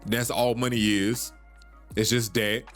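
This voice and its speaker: A man's voice: on the low side, little pitch movement, unhurried, a bit bright, soft background music. A man hosts a solo podcast, talking in an urgent, preachy way that repeats points and swears casually. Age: 30s. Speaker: podcast host.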